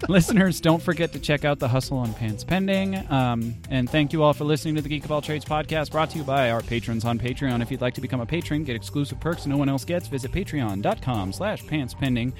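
A man's voice: medium pitch, a little fast, faint music behind. A man hosting a podcast in casual chat, with a bantering, teasing manner. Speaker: podcast host.

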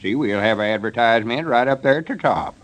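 An actor playing a proud, folksy small-town storekeeper and schemer with a rural Southern US accent, in 1930s radio comedy style. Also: male voice, medium pitch, fast talker, natural voice. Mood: boastful. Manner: folksy, self-satisfied explaining.

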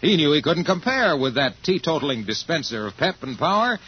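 Animated, clear-voiced 1950 American radio pitchman. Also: a little fast. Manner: alliterative, emphatic patter. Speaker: radio commercial announcer. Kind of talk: 1950s scripted comic radio sales pitch. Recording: muffled vintage 1950 radio recording.

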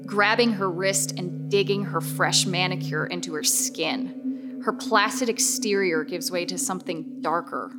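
A woman reading scripted horror narration in a measured, suspenseful way. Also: lowish voice, loud music behind the voice.

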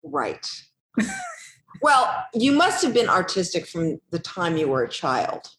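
A woman's voice: lowish voice, very animated, takes it slowly, fairly bright tone, slight background noise. Host: woman interviewer, affirming and chatty, recorded in an echoey room. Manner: affirming, thinks aloud with fillers. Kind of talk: conversational interview.

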